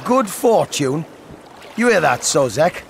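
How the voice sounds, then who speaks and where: Creaky, slow village man. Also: on the high side, very animated, slight background noise, full character voice. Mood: sarcastic. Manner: sneering. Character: bitter, sarcastic village man.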